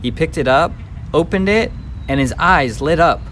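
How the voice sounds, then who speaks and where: A man's voice: a little high, animated, moderate pace, fairly bright tone. A man narrating a scripted children's story for a puppet show. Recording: faint background noise.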